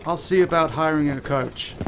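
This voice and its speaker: A man's voice: on the high side, normal speed, natural voice. A man playing an earnest, well-mannered young aristocrat in a horror audio drama. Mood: courteous, earnest. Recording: audible background noise.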